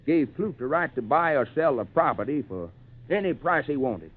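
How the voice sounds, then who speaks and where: An actor playing a sober, knowing backwoods doctor who explains and cautions gravely, in 1940s radio drama style. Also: male voice, fairly high pitch, big pitch swings, moderate pace, phone-quality sound. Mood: grave, explaining. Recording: thin, band-limited 1940s radio recording.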